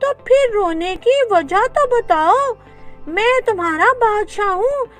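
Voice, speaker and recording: A woman's voice: very high voice, animated, takes it slowly, soft background music, pitched above the performer's own voice, heavily acted. A woman voicing a kind, earnest ten-year-old boy king in a children's storybook tale, childlike and eager to reassure. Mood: earnest, reassuring.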